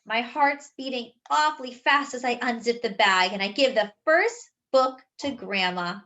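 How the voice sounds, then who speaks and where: A woman reading a children's picture book aloud, expressively and with animated delivery for young listeners. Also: a little high. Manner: animated, pausing to explain words.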